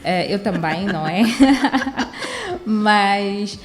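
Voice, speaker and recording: A woman's voice: mid-range voice, big pitch swings, extremely slow. Chatty young Angolan woman hosting. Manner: chatty, with frequent "eh" fillers. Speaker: podcast host. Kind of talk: podcast interview.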